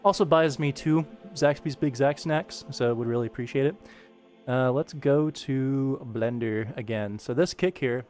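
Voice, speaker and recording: A man's voice: medium pitch, unhurried, a little mellow, soft background music. A man hosting a casual animation work stream, talking informally to viewers as he narrates and explains what he is doing. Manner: informal, addresses viewers, narrates actions with sound-effect words. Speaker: animator and livestream host.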